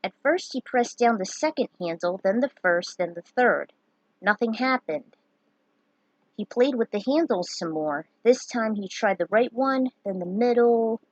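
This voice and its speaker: A woman narrates a fantasy story, reading aloud at an unhurried pace. Her voice sits fairly high for a woman, and the recording is dry. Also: expressive, no room sound, dull audio. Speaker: fan fiction narrator.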